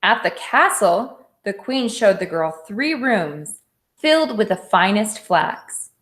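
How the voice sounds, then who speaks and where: A woman's voice: medium pitch, very animated, takes it slowly, fairly bright tone. A woman teacher giving a read-aloud lesson for children, explaining things simply and instructively. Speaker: elementary school teacher.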